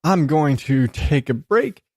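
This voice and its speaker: A man's voice: a little high, lively intonation, slow pace, darkish tone, dry recording, studio quality. A man hosting a solo podcast, talking casually and informally in a self-deprecating, sweary, rambling way. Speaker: podcast host.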